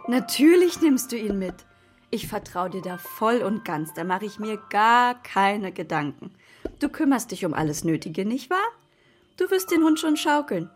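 An actress playing a loving but firm, worried mother in a children's radio drama, expressive, moving between stern and tender. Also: medium pitch, moderate pace, soft background music, close to an everyday voice. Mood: tender, indulgent.

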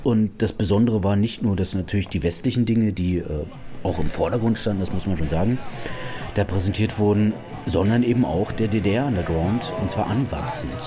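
A man's voice: fairly low pitch, normal speed, dark tone, soft background music. A man reflecting spontaneously in an interview as a curator and researcher.

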